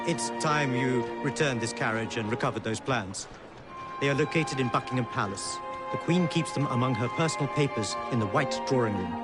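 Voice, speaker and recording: An actor playing a dignified, shrewd exiled Indian king in a video game, speaking in a formal, measured, courtly way. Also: medium pitch, natural voice. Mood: warm, grave. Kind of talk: video game cutscene acting. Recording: loud music behind the voice.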